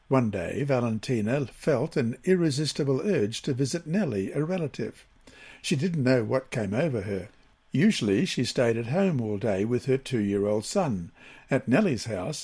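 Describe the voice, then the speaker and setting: A man from Australia reading a scripted Bible lesson in a measured, deliberate way with pauses between phrases. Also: medium pitch, a little mellow, slightly rough.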